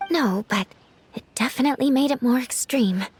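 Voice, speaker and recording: Calm, slightly high woman, clinic worker. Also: moderate pace, a little hiss or hum. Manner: calm and explanatory. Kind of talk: video game voice acting.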